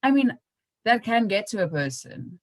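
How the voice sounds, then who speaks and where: A woman's voice: medium pitch, big pitch swings, normal speed, no echo. A young woman hosting a podcast, chatting casually and informally in an anecdotal style. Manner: informal, acts out remembered remarks. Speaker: podcast host.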